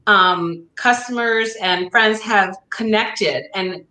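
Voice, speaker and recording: A woman hosting a bookstore's virtual author event, enthusiastic and quick to agree. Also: medium pitch, sharp tone, roomy sound. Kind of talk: casual conversation on a virtual event. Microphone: video call.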